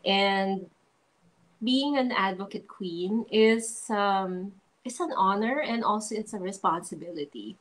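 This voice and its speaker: A woman from the Philippines with a Filipino accent talks over a video call. Her voice sits in a middle pitch, and she speaks earnestly, often stopping to search for words. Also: a little slow, a bit bright, poor audio. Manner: earnest, hesitant with frequent ums. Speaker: interview guest, pageant titleholder. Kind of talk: casual interview, mixing English and Tagalog.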